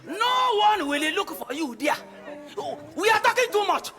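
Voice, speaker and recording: A man's voice: very high voice, big pitch swings, unhurried, pushed and bright, full character voice. A Nigerian man on stage playing an anxious, commanding family patriarch, speaking urgently with hesitations and repeats. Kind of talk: stage play acting. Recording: echoey stage hall, music behind.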